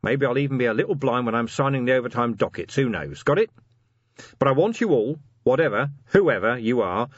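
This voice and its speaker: A man voicing a weary, decent police commander in a storybook, gruff and dryly sardonic, talking plainly and firmly. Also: mid-range voice, no room sound, highs cut off, voice pushed up. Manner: dry, sardonic, rousing plain talk.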